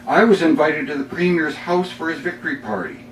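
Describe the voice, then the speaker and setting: A middle-aged Canadian man reading his own poems live, with measured, deliberate phrasing and dramatic pauses. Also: fairly high pitch. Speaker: poet reading own work. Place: bookstore reading before a small audience. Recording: roomy, distant mic, faint noise.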